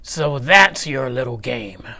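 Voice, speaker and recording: A man voicing a stern, witchy female substitute teacher in a storybook, scratchy, bossy and forceful. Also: medium pitch, lively intonation, slow pace. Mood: triumphant.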